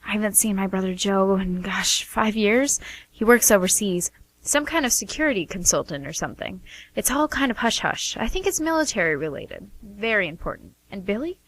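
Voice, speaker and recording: A woman's voice: mid-range voice, animated, normal speed, fairly bright tone. A woman playing an anxious, chatty sister who speaks in a hesitant, earnest ramble in a radio play. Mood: worried, secretive. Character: anxious, chatty sister defending her wayward brothers. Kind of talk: amateur radio play dialogue.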